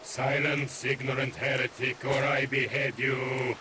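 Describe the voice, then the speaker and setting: An actor playing an ancient demon knight in a video game, haughty and menacing, with grandiose archaic speech. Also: male voice, medium pitch, little pitch movement, measured pace, very noisy, close to an everyday voice. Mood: furious. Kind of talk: dramatic video game villain acting. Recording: music and rain behind the voice.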